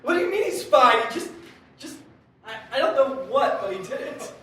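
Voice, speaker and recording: Sceptical female writer played by a woman, lively high voice, outspoken, heard from a distance with heavy echo. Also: moderate pace, a little hiss or hum. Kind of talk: scripted live comedy sketch. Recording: very echoey hall, distant microphone.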